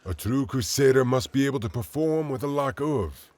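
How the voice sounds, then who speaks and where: An actor playing a confident veteran crusader in a medieval fantasy audio drama, speaking formally like a mentor. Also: male voice, medium pitch, animated, moderate pace, darkish tone, no room sound, lightly acted. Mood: instructive, hearty. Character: veteran crusader, confident, advising younger fighters.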